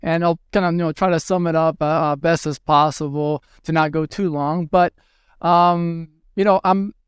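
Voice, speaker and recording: A man's voice: fairly high pitch, fairly even intonation, normal speed, smooth, no room sound, clean studio sound. A man in his thirties from Venezuela, a guest answering interview questions with many fillers and restarts. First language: Spanish. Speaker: guest, hospitality tech executive.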